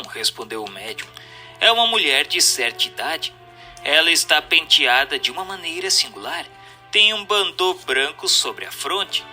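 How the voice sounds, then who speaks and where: A Brazilian man narrates a formal text in a measured, didactic way. His voice is strikingly bright and crisp-edged, pitched a little high for a man. Music plays softly behind his reading. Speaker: audiobook narrator. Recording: voice over background music.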